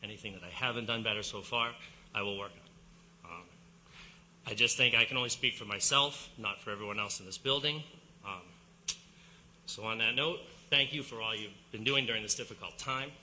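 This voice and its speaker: A man standing up at a public meeting to speak, earnest and a bit halting. He has a lowish voice with a very bright, sharp edge to it. Faint room noise sits under him. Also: rough recording. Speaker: community member addressing board.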